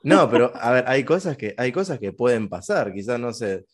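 A man's voice: medium pitch, very animated, moderate pace, breathy edge. Rambling Argentine man hosting. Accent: Argentine Spanish. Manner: chatty, rambling questions with fillers. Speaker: host. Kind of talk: casual interview conversation.

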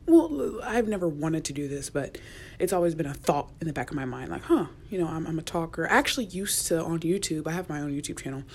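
A young woman, a college student, rambling in a casual solo podcast, chatty and often dropping to a near whisper, recorded in a quiet university office. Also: sits low, slight background noise. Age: young adult. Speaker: podcast host.

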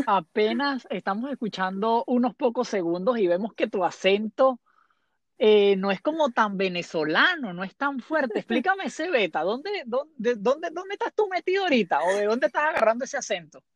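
A man's voice: very high-pitched, expressive, moderate pace, no room sound, dull audio. A man hosting a podcast who introduces his guest and interviews her in an upbeat way, repeating phrases and dropping English fillers. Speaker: podcast host.

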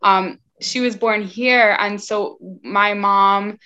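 A woman's voice: mid-range voice, steady tone, takes it slowly, sharp tone. A woman hosts a podcast in a loose, chatty way, sprinkling her sentences with fillers. Her voice sits in a middling range, and the recording is slightly dull and lo-fi. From United States. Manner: chatty, heavy use of "like" and "you know". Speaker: podcast host. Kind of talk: casual chat.

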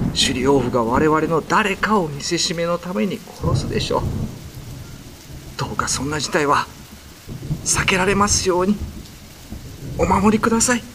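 A man's voice: fairly high pitch, background noise, pitched above the performer's own voice. A man playing an anguished young villager. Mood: praying. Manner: anguished, strained. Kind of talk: radio drama acting. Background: music.

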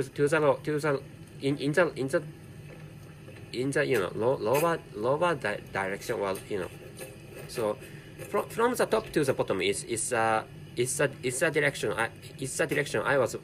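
Halting Japanese-accented man, lively pitch. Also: a little hiss or hum. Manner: halting, with heavy repetition and self-correction. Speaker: podcast host. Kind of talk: casual solo commentary.

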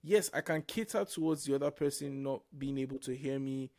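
A man's voice: medium pitch, fairly quick, mellow, dry recording. A young man with a Nigerian accent chats on a podcast about his own life. His voice sits in a middle range and sounds dry and close to the mic. He circles his thoughts, restarting words as he goes. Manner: reflective, repeats and restarts words. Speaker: podcast co-host. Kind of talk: casual faith-based podcast chat.

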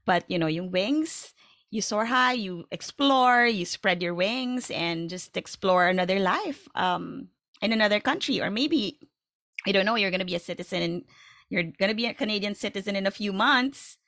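A woman podcast host with a Filipino accent and a mid-pitched voice, recorded dry and close. She chats casually, affirming and encouraging the people she speaks to. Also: expressive, moderate pace, a bit bright, no room sound. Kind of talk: casual conversational interviewing.

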